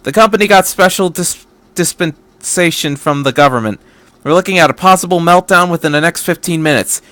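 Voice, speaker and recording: A man's voice: a little high, brisk, sharp tone, no echo. Guy reading a no-nonsense military lady in a story, bossy and determined, dry close mic. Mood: brisk, commanding. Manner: commanding, matter-of-fact. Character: brisk, resolute female military officer.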